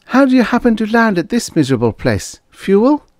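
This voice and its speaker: A man's voice: high-pitched, big pitch swings, fairly quick, dry recording, clean studio sound, voice pushed up. A man voicing a sympathetic, unhappy and guarded young waitress in a science fiction story. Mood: concerned.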